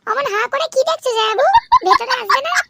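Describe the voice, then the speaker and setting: A woman with a West Bengal accent voicing a naive, coy, demanding young woman in an adult comedy cartoon, with a squeaky, exclamatory delivery. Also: animated, fast, gravelly, heavily acted. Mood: chirpy.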